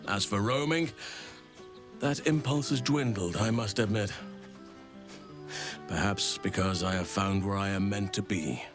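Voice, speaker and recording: Guy doing a wise old druid man from a fantasy game, low voice, gentle n measured, music behind him. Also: close to an everyday voice. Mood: reflective, content. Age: older. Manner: measured, reflective, formal wording. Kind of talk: video game character dialogue.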